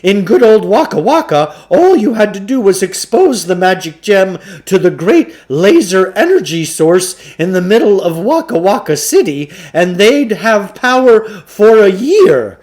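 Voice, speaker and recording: A man's voice: high-pitched, big pitch swings, measured pace, few pauses, darkish tone, voice pushed up. A man voicing a chatty, folksy shopkeeper huckster in a storybook reading, with eager, exclamatory sales patter. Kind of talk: children's storybook character voice.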